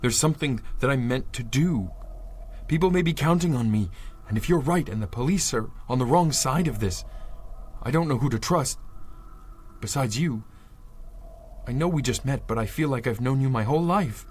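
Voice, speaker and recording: A man's voice: medium pitch, expressive, normal speed, husky. An actor playing an earnest, protective amnesiac man with formal, hesitant phrasing in a 1930s-style adventure radio drama. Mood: earnest resolve.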